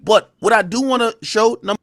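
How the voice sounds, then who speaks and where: A man rants on his livestream, defensive and rambling through heavy slang. His voice sits a little high for a man, and the mic sounds close and dry, with no room around it. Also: moderate pace, fairly bright tone, no room sound. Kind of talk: livestream rant.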